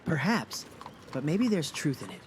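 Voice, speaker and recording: An easygoing young man in a fantasy audio drama, played by a performer, reassures gently with a very animated melody to the voice. He sounds encouraging and kind, over faint outdoor ambience of animals and hooves. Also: mid-range voice, normal speed, slight background noise, lightly acted. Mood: reassuring. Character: Creek, easygoing, encouraging young male friend in fantasy tale.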